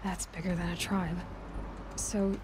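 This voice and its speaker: A woman's voice: lowish voice, flat delivery, unhurried, background noise, lightly acted. A woman voicing a curious young female hunter who muses aloud, in a game. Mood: musing. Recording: game audio over loud music.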